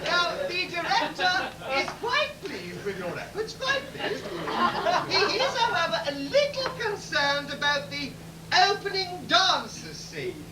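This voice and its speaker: Woman as a very high, gushing pantomime cook. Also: huge pitch swings, unhurried, full character voice. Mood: gushing, saucy. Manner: theatrical, luvvie-style gushing, drawn out. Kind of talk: amateur pantomime comic patter. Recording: distant echoey bar, crowd and noise.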